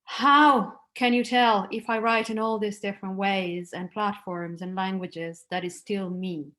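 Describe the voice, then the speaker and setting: A woman's voice: mid-range voice, steady tone, unhurried, a bit bright. A middle-aged woman, a native Italian speaker, giving an academic talk over a video call, conversational and prone to restarts. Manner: conversational, with frequent restarts and "like" fillers. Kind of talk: conference talk over slides.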